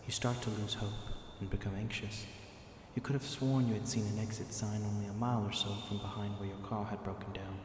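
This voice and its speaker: A man narrating a scripted second-person story for an ASMR roleplay. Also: on the low side. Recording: very echoey, long reverb, clear background noise.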